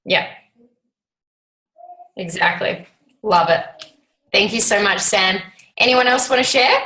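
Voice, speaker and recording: Bubbly woman host on a video call, bright sharp voice, echoey room. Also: mid-range voice. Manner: bubbly, gushing, fast asides. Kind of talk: casual motivational chat.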